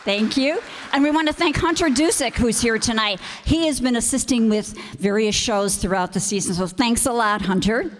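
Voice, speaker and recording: A woman's voice: a little high, moderate pace. A woman giving closing thank-you remarks to an audience as host of a community theater group. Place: community auditorium stage. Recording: echoey hall, picked up in reverberant room.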